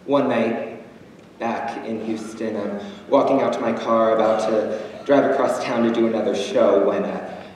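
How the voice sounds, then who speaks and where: A young man performing a theatrical monologue as a proud, wounded, defiant drag queen, with deliberate, cutting delivery and dramatic pauses. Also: fairly low pitch, steady tone, darkish tone, natural voice. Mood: grim, defiant. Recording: distant, noisy, very echoey auditorium recording.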